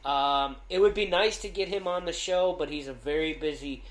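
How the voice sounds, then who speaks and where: A man co-hosting a casual podcast, loud-voiced and rambling, with lots of fillers and restarts. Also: on the high side, moderate pace, reverberant. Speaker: podcast co-host.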